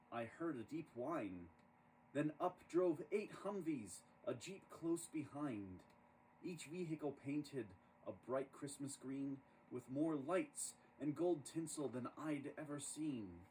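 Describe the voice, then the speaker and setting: A man reading a rhyming children's story aloud in an expressive, dramatic storytelling manner. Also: medium pitch. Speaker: storybook reader. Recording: distant microphone with clear background noise.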